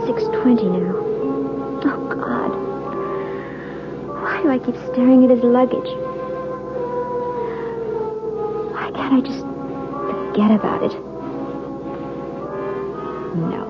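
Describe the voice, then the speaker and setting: Anxious young wife, muffled radio drama. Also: female voice, a little high, slow, pauses often. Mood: nervous, brooding. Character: anxious newlywed wife, frightened and suspicious of husband. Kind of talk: 1970s radio drama acting. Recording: muffled old radio broadcast, music behind.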